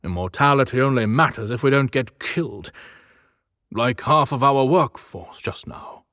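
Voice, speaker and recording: A man's voice: medium pitch, expressive, normal speed, a bit bright, no echo, clean studio sound, close to an everyday voice. A man playing a serious, weary Santa Claus in an audiobook drama, grave and questioning. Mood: grim, impatient.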